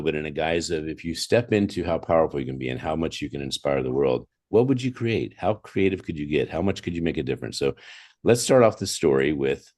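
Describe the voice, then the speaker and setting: Very deep-voiced male talk show host. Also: a little mellow. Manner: effusive, repeats phrases for emphasis. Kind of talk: conversational interview hosting.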